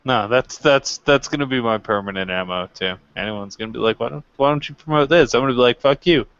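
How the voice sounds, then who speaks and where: A man's voice: mid-range voice, a little fast, fairly bright tone, clear, resonant tone. A man co-hosting a casual wrestling podcast, bantering in a blunt, sweary, self-deprecating way. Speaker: podcast co-host. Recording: dull lo-fi microphone sound.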